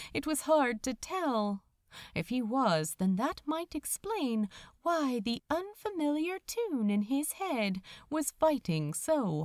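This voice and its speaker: A woman reading fiction aloud as a dramatic narrator, expressive and measured, with deliberate pauses. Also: high-pitched, no room sound, studio quality. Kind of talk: dramatic scripted audiobook narration.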